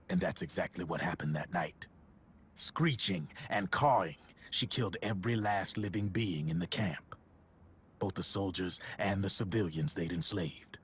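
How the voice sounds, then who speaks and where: An actor playing a sly middle-aged arms dealer, talking in a wry, husky storytelling voice. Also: male voice, deep voice, fairly bright tone, exaggerated voice.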